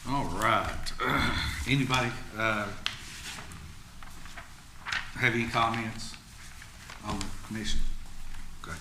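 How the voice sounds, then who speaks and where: A man's voice: lowish voice, takes it slowly, pauses often. A man chairing a public meeting, speaking as the head of a commission. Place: indoor public meeting room. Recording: echoey meeting room with clear background noise.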